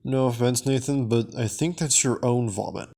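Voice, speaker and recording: A man's voice: mid-range voice, moderate pace, gravelly, dark tone. A man narrating a recap and review in a casual, semi-scripted style, as the presenter of a video.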